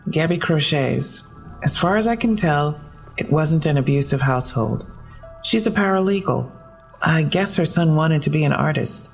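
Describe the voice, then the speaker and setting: A woman's voice: deep voice, lively intonation, moderate pace, vocal fry, faint music behind. A woman acting a businesslike police detective in an audio drama, speaking in a matter-of-fact, slightly awkward way. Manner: matter-of-fact, clipped case briefing.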